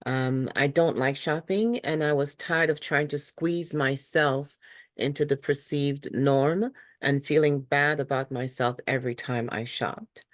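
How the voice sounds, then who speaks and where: A woman spoken word artist from Saint Lucia introduces herself with a low voice. She speaks reflectively, often pausing on ums. The sound is dry. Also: fairly even intonation, dry recording.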